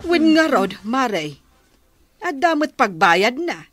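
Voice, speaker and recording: A woman's voice: high voice, very animated, takes it slowly, a bit bright, soft background music, heavily acted. An actress playing a disapproving, gossipy village woman in a radio comedy drama.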